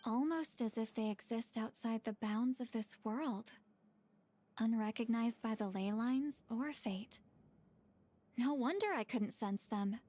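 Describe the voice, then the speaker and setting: A grumpy, unhurried tracker. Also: female voice, on the high side, warm tone. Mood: dry. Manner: blunt, grumbling, unhurried. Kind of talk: game cutscene voice acting. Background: game music.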